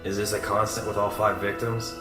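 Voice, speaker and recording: An actor playing a cynical, sarcastic big-city detective in a radio drama, blunt and skeptical. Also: male voice, lowish voice, level tone, fairly quick, natural voice. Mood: probing, skeptical. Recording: roomy echo with music behind. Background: music.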